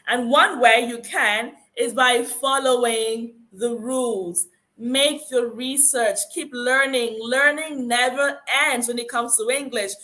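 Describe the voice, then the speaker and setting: A woman's voice: fairly high pitch, slow pace, bright, forceful tone. A woman with a Nigerian accent giving an encouraging phonics lecture, stressing rules and repeating key points. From Akwa Ibom, Nigeria. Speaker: phonics teacher. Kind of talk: conference lecture.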